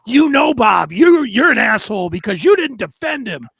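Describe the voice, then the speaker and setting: A man's voice: very high voice, very animated, normal speed, creaky, a bit bright, husky, no echo. A man co-hosting a talk show, giving opinions in a blunt, emphatic and salty way.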